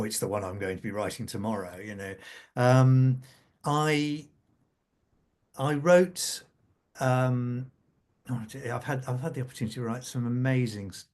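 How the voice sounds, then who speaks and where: A man speaking as a scriptwriter on a panel, reflective and halting, repeating phrases as he thinks aloud. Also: medium pitch, a little mellow. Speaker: scriptwriter for a radio drama serial.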